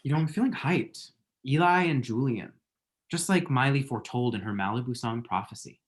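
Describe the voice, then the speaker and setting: A man's voice: medium pitch, brisk, lightly acted. Man acting a chatty, flippant lovesick young guy. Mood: hyped, rueful. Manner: chatty, dry, self-deprecating, pop-culture asides. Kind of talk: screenplay table read.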